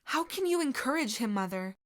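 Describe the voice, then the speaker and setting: A woman's voice: high voice, very animated, a little fast, voice pushed up. Woman doing furious teenage boy Sirius. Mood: yelling. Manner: shouting, swearing, exasperated. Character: angry defiant teenage boy wizard, Sirius.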